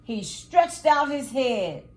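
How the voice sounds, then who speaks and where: A woman reads a picture book aloud to children as a storyteller, with deliberate, dramatic phrasing. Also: high-pitched, lively intonation. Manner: deliberate, dramatic phrasing with acted sound words. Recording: echoey, roomy sound.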